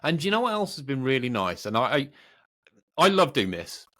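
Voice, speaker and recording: A man's voice: mid-range voice, very animated, a little fast, sharp tone. A middle-aged British man co-hosting a podcast, chatting casually in a rambling, self-deprecating way. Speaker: podcast co-host. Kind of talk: casual chat.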